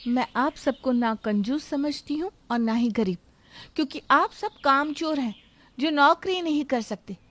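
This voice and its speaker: Woman playing an outspoken, high-voiced young wife. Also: a little slow, fairly bright tone. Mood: scolding. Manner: scolding, insistent. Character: young married daughter-in-law, practical, fed up, outspoken.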